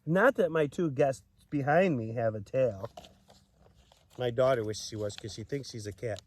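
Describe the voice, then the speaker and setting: A man reading a children's story aloud in a playful, expressive way, with chatty asides and exclamations. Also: medium pitch, moderate pace.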